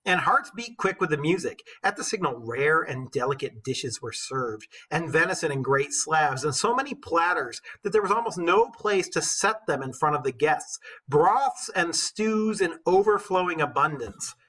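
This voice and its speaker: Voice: mid-range voice, lively intonation, normal speed, few pauses, fairly bright tone, breathy edge. A teacher reading a poem aloud with dramatic storytelling emphasis, breaking into casual, informal commentary.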